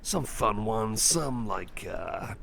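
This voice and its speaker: Man acting a soft, slow-talking shy cyborg companion. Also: lowish voice, lively intonation, a little hiss or hum, exaggerated voice. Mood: shy, hesitant. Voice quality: soft, breathy, often whispering. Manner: hesitant, stammering, apologetic, reassuring. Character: shy, friendly male cyborg companion, anxious and kind. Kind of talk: ASMR roleplay, personal attention.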